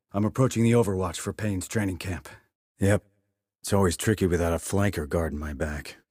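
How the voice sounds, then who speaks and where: Low, husky military sniper. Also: male voice, darkish tone, dry recording. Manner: clipped radio-style reports, dry and controlled. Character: terse, dry, confident male military sniper on a mission. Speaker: video game voice actor. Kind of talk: scripted military game dialogue.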